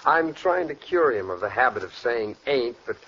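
An actor playing a smooth, calculating young gang leader in an old radio crime drama, speaking casually with a slick politeness. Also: male voice, medium pitch, sing-song, moderate pace, fairly bright tone, heavily acted. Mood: smooth, polite. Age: young adult. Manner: casual slangy, turns politely ingratiating. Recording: dull, noisy vintage radio recording.

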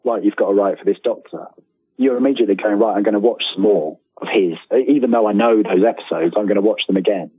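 A man's voice: on the low side, fast talker, husky. A man talking casually as an interview guest, rambling and self-deprecating, often restarting his sentences. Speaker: interview guest, freelance writer. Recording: tinny phone-like line with room echo.

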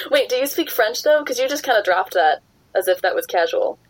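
A young woman hosting a podcast, chatty and quick to laugh as she steers the talk back on topic. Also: on the high side, lively intonation, no echo, low-quality recording. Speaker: podcast host. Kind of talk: casual chat.

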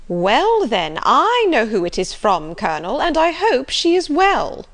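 Storybook busybody, older gentlewoman played by a woman: boisterous, prying, teasing, animated high delivery. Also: measured pace, exaggerated voice. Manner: prying, exclamatory. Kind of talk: acted storybook dialogue.

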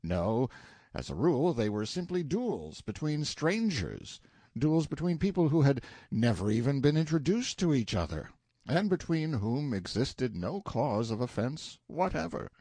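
A man narrating a literary novel as an audiobook, with a measured, expressive storytelling delivery and a slightly husky voice. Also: mid-range voice, dry recording.

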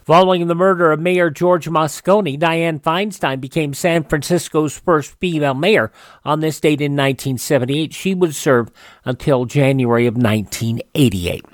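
An American man hosts a radio program in a slightly high voice. He reads items in a measured, newsreader-like way, partly scripted. The sound is dry and close. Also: dry recording. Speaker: radio show host. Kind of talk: semi-scripted presenting of old radio and history facts.

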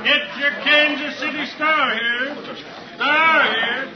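An actor playing a newspaper vendor in a 1950s radio drama, hawking papers in drawn-out street cries. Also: male voice, fairly even intonation. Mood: hawking, insistent. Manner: drawn-out, high street-cry calling. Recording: muffled old radio, faint station noise.

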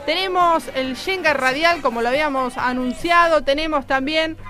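A woman's voice: sits high, moderate pace, sharp tone, faint music behind. A woman with an Argentine accent hosting a comedy radio show in a playful, teasing way. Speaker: radio host.

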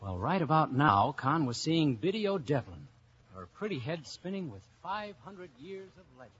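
An actor playing a wry, easygoing American investigator with a dry, casual delivery, in a 1950s radio drama. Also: male voice, on the high side, sing-song, normal speed, a bit bright. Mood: brisk narration. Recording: dull, hissy 1950s radio recording.

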